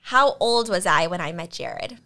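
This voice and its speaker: A woman's voice: on the low side, normal speed. A young woman hosting a casual chat, quizzing her guests and laughing often. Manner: giggly, lively, laughs often. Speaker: podcast host. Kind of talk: casual chat, running a quiz.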